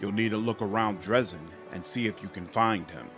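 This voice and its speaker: A man's voice: lowish voice, fairly quick, a bit bright, soft background music. Man playing a firm, no-nonsense woman officer. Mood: insistent. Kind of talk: fantasy game dialogue.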